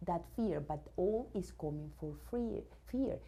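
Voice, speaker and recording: Woman, from Venezuela, English speaker, low voice, hesitant restarts, enthusiastic, CEO interviewee. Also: lively intonation, unhurried, mellow, slightly rough. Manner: many fillers and restarts, searching for words; enthusiastic about her subject. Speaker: robotics company CEO, guest. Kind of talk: news interview.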